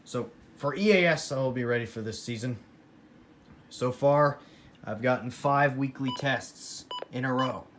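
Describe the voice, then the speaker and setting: American man chatting casually over clear background noise. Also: mid-range voice, measured pace, fairly bright tone. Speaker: hobbyist vlogger. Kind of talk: casual rambling show-and-tell of a radio collection.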